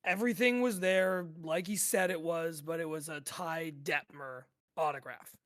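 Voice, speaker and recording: A man's voice: fairly high pitch, normal speed, fairly bright tone, natural voice. A man acting a weary, dejected game player in a naturalistic audio drama table read, hesitant and rambling, with some swearing. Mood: agitated, frustrated.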